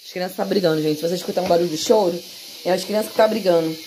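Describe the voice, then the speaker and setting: A woman's voice: on the low side, racing. A young woman with a Brazilian accent, chatting casually to viewers as she narrates a home cooking vlog. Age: young adult. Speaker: home vlogger. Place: small tiled home kitchen, indoors. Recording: noisy hissy home-kitchen recording.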